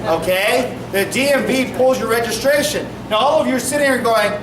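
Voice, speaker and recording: A man, a police officer, explaining things to a community meeting, projecting his voice and quizzing the audience. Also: extremely high pitch. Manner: projecting, brisk, asks the audience questions. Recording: echoey room from far off, noisy.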